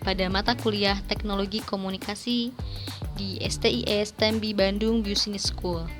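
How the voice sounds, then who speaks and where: Young female student presenter, medium pitch, formal scripted read with careful diction. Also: steady tone, normal speed. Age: young adult. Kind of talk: scripted presentation narration, reading a paper aloud. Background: background music.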